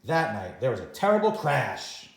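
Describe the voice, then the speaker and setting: A man reads a children's picture book in a very animated, swinging voice. He uses dramatic pauses for young listeners, and the room adds a noticeable echo. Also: mid-range voice, creaky, slight background noise. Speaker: teacher reading a children's story. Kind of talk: animated read-aloud storytime narration.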